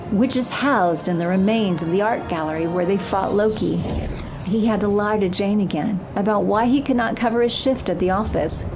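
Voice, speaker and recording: A woman narrating a scripted audio drama as its storyteller. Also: medium pitch. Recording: noisy ambience behind.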